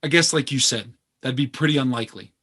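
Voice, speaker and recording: Guarded, earnest young heir played by a man, mid-pitched. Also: fast talker, bright, forceful tone, breathy edge. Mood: uneasily candid. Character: guarded, earnest, privileged grandson, uneasily principled. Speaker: actor in a two-man play. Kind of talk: naturalistic stage dialogue.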